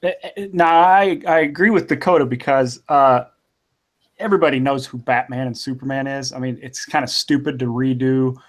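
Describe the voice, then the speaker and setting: A man's voice: medium pitch, fairly even intonation, moderate pace. A man co-hosting a casual podcast, giving blunt opinions. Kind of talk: casual chat.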